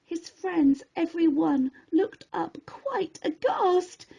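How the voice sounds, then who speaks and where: A woman storyteller narrating a rhyming children's story in an expressive, theatrical and deliberate way. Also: very high voice, gravelly, highs cut off.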